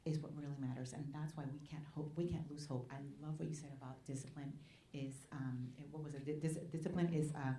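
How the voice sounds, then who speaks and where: Low-voiced woman. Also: fairly even intonation, fast, warm tone. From Puerto Rico. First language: Spanish. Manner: earnest, often asks the room "right?". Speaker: nonprofit president speaking at a panel. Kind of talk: public talk at a community forum. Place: college event hall. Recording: echoey hall with faint noise.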